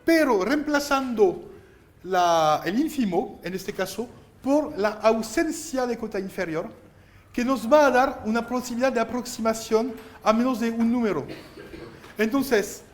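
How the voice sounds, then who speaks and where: A man whose first language is French, lecturing a university math class and explaining each step with halting restarts. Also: very high voice. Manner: explains step by step, insistent, gently scolding; halting, restarts while writing on board. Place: lecture hall.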